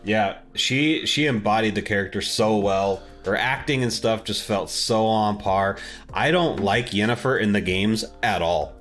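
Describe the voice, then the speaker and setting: A man's voice: lowish voice, unhurried, a bit bright, faint music behind. A man co-hosting a podcast, chatting casually about films and games in an informal, slangy way. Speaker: podcast co-host.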